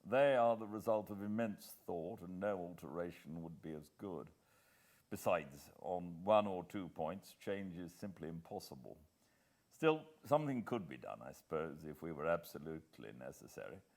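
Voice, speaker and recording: A British man voicing an upper-class senior government official in an audiobook, brisk, confiding and emphatic. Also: low-pitched, expressive, a little mellow. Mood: grave, emphatic.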